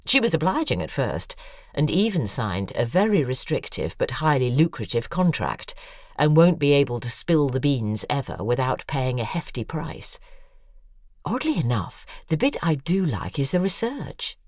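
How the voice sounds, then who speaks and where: An actress playing a bitter, imperious older novelist in an audio drama, with a theatrical, insistent delivery. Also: female voice, extremely low pitch, big pitch swings, normal speed, darkish tone, dry recording, clean studio sound, full character voice. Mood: candid, sardonic.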